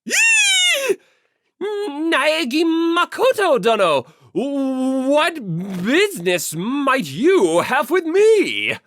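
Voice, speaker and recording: A woman voicing an excitable, pompous young male anime otaku for an audiobook, with formal, drawn-out phrasing. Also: sits high, no room sound, voice pushed up, heavily acted. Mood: startled, flustered. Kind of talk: audiobook character acting.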